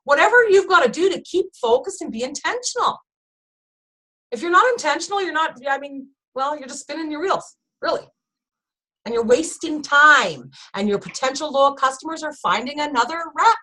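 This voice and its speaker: A woman leading her sales team in a casual motivational chat, emphatic and animated, often turning to the listeners directly. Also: high-pitched, normal speed, rough recording. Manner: emphatic, animated, keeps addressing listeners as "you guys".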